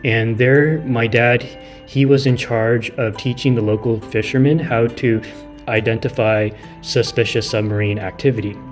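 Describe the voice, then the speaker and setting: A man's voice: medium pitch, steady tone, moderate pace, faint music behind. A man streaming a game and chatting casually with his viewers, rambling with many restarts. He is from California, and his first language is Mandarin. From California, near San Francisco. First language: Mandarin Chinese. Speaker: gaming streamer. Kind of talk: casual live-stream chat, reading chat.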